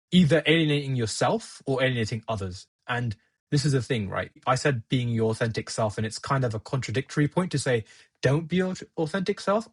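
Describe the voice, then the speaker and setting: A young man whose first language is Gujarati, talking through his thoughts in a relaxed podcast chat and often laughing at himself. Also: mid-range voice, breathy edge, dry recording. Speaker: podcast guest. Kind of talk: casual conversation.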